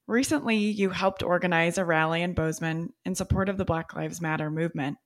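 A woman's voice: fairly low pitch, fairly even intonation, fairly quick. A woman interviewing a guest, asking prepared questions in an affirming way.

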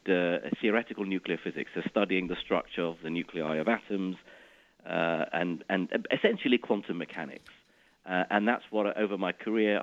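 A middle-aged man from Iraq speaks with a very low voice, explaining ideas in a relaxed interview manner. He often restarts and repeats words as he goes. The sound is dull and lo-fi, like an older radio line. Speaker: physicist guest.

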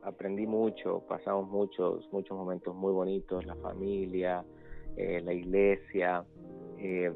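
A man in his thirties with a low voice, talking as a guest in a casual interview. He repeats words as he searches for phrasing. The sound is muffled and narrow, with faint music underneath. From El Salvador. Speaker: interview guest, youth ministries pastor. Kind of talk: casual spoken testimony.

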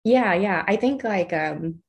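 A woman's voice: mid-range voice, lively intonation, slow, creaky. A young adult woman from the Los Angeles area, an artist chatting as an interview guest, laughing often and restarting her sentences. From Los Angeles area, USA. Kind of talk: casual interview conversation.

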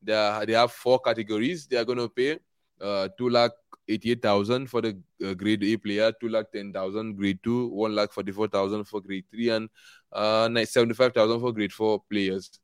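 A man's voice: on the low side, fairly even intonation, moderate pace, clean tone, dry recording. A young man from Nigeria, chatting earnestly as a podcast guest, rambling with fillers and easy laughs. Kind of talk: casual interview chat.

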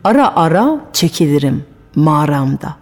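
A woman's voice: sits low, very animated, normal speed, darkish tone, voice pushed down. A woman voicing Pan, a boastful, lonely, mischievous male goat god, teasing and laughing at his own pranks in a storytelling radio monologue. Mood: mischievous, relaxed. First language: French. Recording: music bed with long hall reverb.

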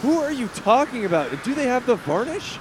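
A man's voice: extremely high pitch, big pitch swings, brisk, noisy background. A man playing a pampered, crude teenage rich boy in a comedic audio drama, with a swaggering, melodramatic delivery. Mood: panicked, pleading.

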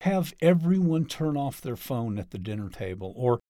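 A man's voice: mid-range voice, sing-song, normal speed, dark tone, no echo, clean studio sound. A man in his thirties narrating a scripted teaching piece in a conversational, explanatory way, posing rhetorical questions as he goes.

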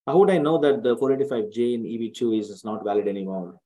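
A man with a mellow, dark tone asks questions as a caller. His speech is halting, with repeated words and non-native grammar. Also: medium pitch. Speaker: caller seeking advice. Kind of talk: question and answer on a call.

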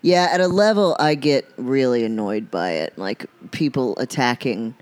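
A man's voice: medium pitch, lively intonation, moderate pace. A man with a nasal voice, a guest on a casual podcast who jokes, teases and giggles. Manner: joking, teasing, giggly. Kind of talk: casual chat.

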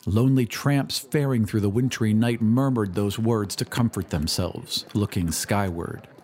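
A man's voice: fairly low pitch, a little slow, a little mellow. A man giving a dramatic scripted narration of a story, as in an audio drama. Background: music.

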